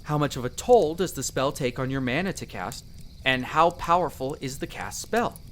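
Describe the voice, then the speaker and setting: A man's voice: mid-range voice, normal speed, a little hiss or hum, natural voice. A man voicing a friendly, eager young elf explorer in a roleplay audio drama, chatting playfully and explaining patiently to the listener. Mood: earnest, explanatory. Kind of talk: in-character conversational roleplay addressed to the listener.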